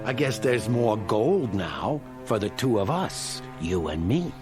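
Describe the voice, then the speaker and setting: An actor playing a greedy, treacherous crook in a radio drama, talking in a blunt, taunting, colloquial way. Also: male voice, fairly low pitch, very animated, slow pace, over music. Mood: smug, callous. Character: sly, callous, greedy male crook, mocking and treacherous.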